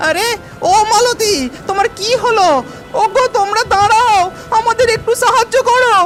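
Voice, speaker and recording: Man voicing an extremely high-pitched anxious villager. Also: steady tone, normal speed, over music. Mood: pleading. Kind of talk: cartoon voice acting.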